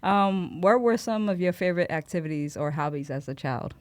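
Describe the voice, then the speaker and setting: A woman with a low voice hosts a podcast interview, friendly and encouraging. Her sound is close and dry, with no room around it. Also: lively intonation, fairly quick, a little mellow, dry recording. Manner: friendly, short encouraging replies. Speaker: podcast host, DJ. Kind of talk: casual interview hosting.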